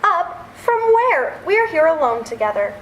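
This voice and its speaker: A woman's voice: extremely high pitch, very animated, unhurried, fairly bright tone, full character voice. A woman playing a spirited, indignant young fiancée in an old-time radio play. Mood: indignant, pleading. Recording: echoey room, clear background noise.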